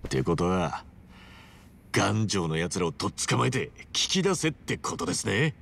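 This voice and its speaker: An actor playing a rough, earnest, big-hearted hero in his forties, speaking bluntly in casual street Japanese in a video game cutscene. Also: deep voice, big pitch swings, moderate pace, a bit bright, exaggerated voice. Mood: probing.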